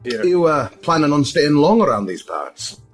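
An actor playing a friendly, musing immortal pub regular in a folksy audio drama manner. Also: male voice, a little high, big pitch swings, moderate pace, soft background music.

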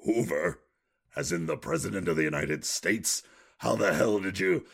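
An actor playing a gruff, sardonic, easily exasperated man in an audio drama, with a raspy, gravelly voice. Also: low-pitched, big pitch swings, normal speed, exaggerated voice. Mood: exasperated disbelief.

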